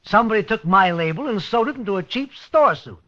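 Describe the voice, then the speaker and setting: An actor playing an excitable, indignant male tailor, agitated and insistent, in 1950s radio drama style. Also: high voice, brisk. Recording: dull vintage 1950s radio recording.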